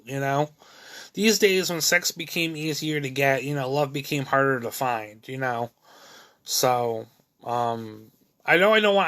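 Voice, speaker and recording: Bright-voiced man in his thirties, halting. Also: medium pitch. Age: 30s. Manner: halting, many restarts and fillers; candid, self-critical. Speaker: video creator. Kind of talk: rambling personal monologue.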